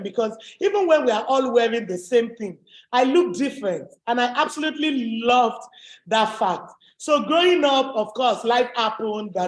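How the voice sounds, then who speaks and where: A woman with a deep voice, giving an emphatic, motivational webinar talk as a business coach. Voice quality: deep, low voice. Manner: emphatic, repetitive, rallying. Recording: echoey room.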